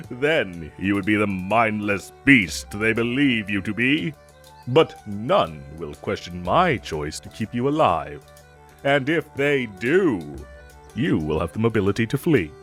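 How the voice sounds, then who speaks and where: A man's voice: lowish voice, a bit bright, full character voice. A man playing a gallant, theatrical knight with a playful streak and formal, archaic phrasing in a fantasy audio roleplay. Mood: earnest, reassuring.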